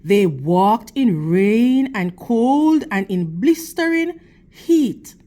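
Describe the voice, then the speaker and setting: Slow-paced woman reading aloud to children. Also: a little high, expressive, mellow. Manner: deliberate, measured phrasing with questions and praise addressed to young listeners. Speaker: children's read-aloud storyteller and teacher. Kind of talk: read-aloud of a picture book for children.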